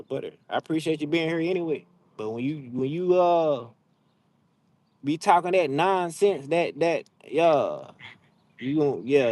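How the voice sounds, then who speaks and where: A young adult man with hosts a casual livestream, talking trash to his viewers in an animated, drawling, slangy way. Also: a little high. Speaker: livestream host. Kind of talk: casual live chat with viewers. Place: outdoors, frogs nearby.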